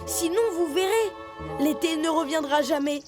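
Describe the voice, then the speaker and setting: A woman's voice: high-pitched, normal speed, close to an everyday voice. A woman voicing a brave, stubborn young male leopard cub in a children's cartoon dub, talking in an earnest, determined way. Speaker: dubbing voice actor. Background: music.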